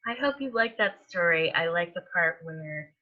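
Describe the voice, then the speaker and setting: A woman reading a picture book aloud to young children as a storytime host, in an expressive manner that stretches out words and softens endings. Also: fairly low pitch, lo-fi sound. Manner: animated, with drawn-out words and hushed endings.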